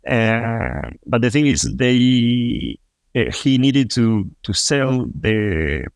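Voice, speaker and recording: A man from Argentina, a fintech co-founder, answering interview questions in a halting way with many restarts and fillers. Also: mid-range voice, sing-song, clear, resonant tone, no room sound, clean studio sound. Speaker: podcast guest, fintech co-founder.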